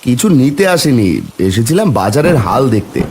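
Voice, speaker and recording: Man acting expressive Bengali village husband, lively pitch. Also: fairly quick, slight background noise, natural voice. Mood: resolute. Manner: colloquial, expressive, exclaiming. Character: worried, caring Bengali village husband and elder neighbour. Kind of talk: cartoon dialogue acting.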